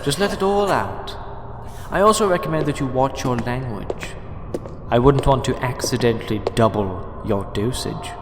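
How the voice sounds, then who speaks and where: An actor playing a calm, sinister male doctor in an audio drama, polite, condescending and quietly menacing toward his captive. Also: mid-range voice, normal speed, close to an everyday voice. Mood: mock soothing. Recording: echoey reverberant room with clear noise.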